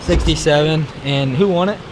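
A man's voice: fairly high pitch, normal speed. Upbeat young man vlogging outdoors. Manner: upbeat, chatty, self-deprecating. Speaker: vlogger filming an archery event. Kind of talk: casual vlog narration. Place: outdoors on a rainy mountain course. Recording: outdoor camera audio with loud background noise.

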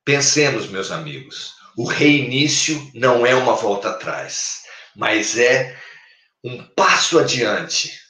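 A man's voice: medium pitch, slow pace. A man in his fifties with a Brazilian accent, giving a spiritual talk on a live video call with a preacher's cadence. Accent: Brazilian Portuguese. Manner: expressive, gestures widely, preacherly cadence. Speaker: spiritist speaker. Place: home room, indoors. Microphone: webcam on a video call. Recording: echoey room.

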